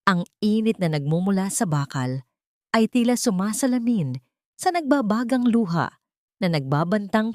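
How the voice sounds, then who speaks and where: A woman tells a scripted drama as its narrator. Her voice is slightly low and recorded dry and close. The delivery is solemn and emotive, with a literary, storybook phrasing. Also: darkish tone, no echo.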